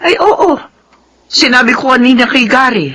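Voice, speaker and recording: An actress playing a worried, caring grandmother with a husky voice in a Filipino radio drama. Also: sits high, expressive, moderate pace, sharp tone, full character voice. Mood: hushed concern.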